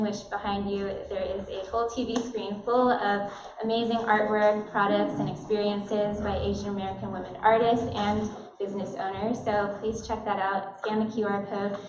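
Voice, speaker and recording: A woman's voice: medium pitch, flat delivery, normal speed, noisy background. A woman from an arts organization welcomes guests at a gallery opening, chatting casually with many fillers. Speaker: arts organization staff member introducing the event. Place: gallery opening reception, indoors. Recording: echoey, distant, dull sound with a crowd behind. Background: crowd of guests.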